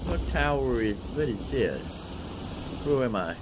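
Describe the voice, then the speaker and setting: A man's voice: medium pitch, very animated, measured pace. A man giving casual, chatty let's play commentary, thinking aloud as he goes. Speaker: gaming channel host. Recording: clear background noise.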